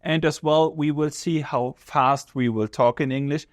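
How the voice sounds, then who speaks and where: A man with a German accent, a visiting professor speaking in English as a second language, explaining things in a measured way and searching for words as he talks. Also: fairly high pitch, fairly even intonation, no room sound, clean studio sound. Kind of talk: conversational interview answers.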